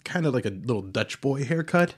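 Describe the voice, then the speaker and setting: A middle-aged man talks casually as a podcast co-host, at an average pitch. He tells personal stories haltingly, with plenty of swearing and repeated filler. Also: expressive. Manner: sweary, halting, like-peppered talk. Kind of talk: casual conversational storytelling.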